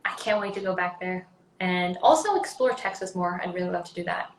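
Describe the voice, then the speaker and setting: A woman's voice: fairly low pitch, a little fast, roomy sound, slight background noise. A young adult woman from Sweden with a Swedish accent, chatting casually as an interview guest, rambling with many ums and likes. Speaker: actress guest.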